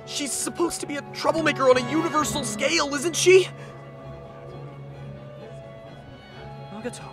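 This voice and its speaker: A performer in an anime fan dub playing a sardonic teenage high-school boy, dramatic and disbelieving. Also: fast talker, music in the background, natural voice. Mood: panicked.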